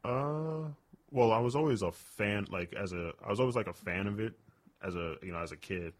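A man, a stand-up comedian, chatting casually as an interview guest, rambling with lots of "like" and "you know". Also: normal speed. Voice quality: booming voice.

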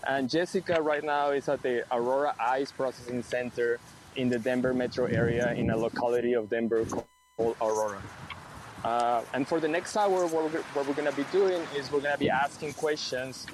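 Man, from Mexico, live outdoor radio host, halting restarts, faint traffic behind. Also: medium pitch. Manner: thoughtful, halting, frequent restarts. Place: outdoors near a detention center, street traffic.

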